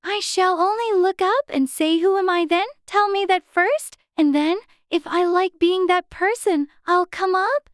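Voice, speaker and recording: Very high-voiced anxious little girl. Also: a little slow, few pauses, no room sound, studio quality. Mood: forlorn, fretful. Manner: fretful, talking to herself in prim childish exclamations. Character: anxious, chatty little girl in a fantasy storybook. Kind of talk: dramatized audiobook character acting.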